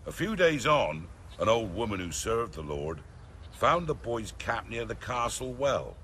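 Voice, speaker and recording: Old male villager, British accent, slow, animated pitch, wry, sly, folksy, video game. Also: slight background noise. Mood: sly, storytelling. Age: 70s.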